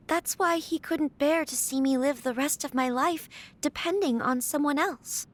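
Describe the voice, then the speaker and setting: Young noble lady from a video game, high voice, super polite and earnest. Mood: reflective. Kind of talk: scripted video game character dialogue.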